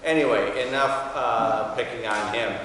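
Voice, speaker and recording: A man in his sixties, a magistrate, giving an informal, halting welcome speech from a courtroom podium. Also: medium pitch, a bit bright. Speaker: magistrate introducing a speaker. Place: wood-paneled courtroom. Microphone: podium mic, standing back from it. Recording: very echoey hall, distant mic, faint noise.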